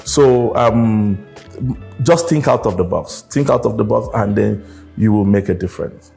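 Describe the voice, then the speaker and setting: A man slowly and deliberately giving advice in an interview. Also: on the low side, vocal fry, warm tone, faint music behind. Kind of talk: interview answers.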